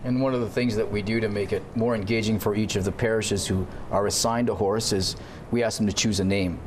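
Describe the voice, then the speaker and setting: Low-voiced man, priest in an interview. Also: fairly even intonation, fairly quick, noisy background. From Canada. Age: 30s. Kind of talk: informal TV studio interview. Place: indoor TV studio. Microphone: lapel mic.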